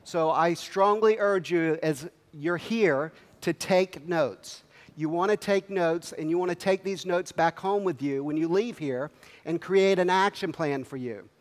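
Middle-aged male keynote speaker, higher-pitched voice, punchy questions to the crowd, big hall echo. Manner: energetic, quizzes the audience with quick questions. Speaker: company executive speaking at a convention. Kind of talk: motivational stage speech to a crowd. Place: large convention hall stage. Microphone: headset mic. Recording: long hall reverb.

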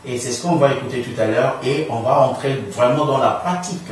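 A middle-aged man hosting an informal radio interview, feeling his way through sentences with halting phrasing. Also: mid-range voice. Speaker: radio talk show host. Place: small radio studio room, indoors. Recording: very echoey room, distant, faint noise.

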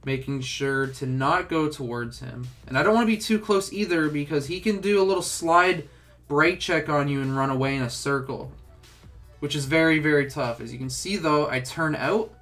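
A man's voice: fairly high pitch, normal speed, a bit bright, faint music behind. A young man with a stuffed-up, congested voice, casually talking through a game tutorial with lots of filler words. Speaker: gaming tutorial host.

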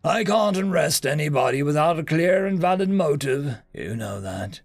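A man voicing a huge, weary female alien police officer who speaks calmly and by procedure. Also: fairly high pitch, moderate pace, gravelly, no echo, faint music behind. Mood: patient. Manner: calm, patient, procedural.

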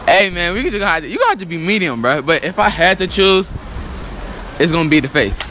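A man's voice: sits high, sing-song, fast talker, bright, forceful tone, a little hiss or hum. A young man answering interview questions with slangy, joking banter.

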